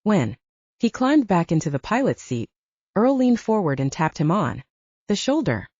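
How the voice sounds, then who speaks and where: A woman's voice: lowish voice, sing-song, normal speed, a little mellow, breathy edge, no echo, dull audio. A woman reading fiction aloud as a scripted audiobook narration.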